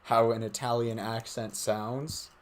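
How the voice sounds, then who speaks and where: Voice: medium pitch, level tone. A streamer chatting casually and joking between reads. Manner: chatty, swears, quick asides. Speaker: streamer co-reader.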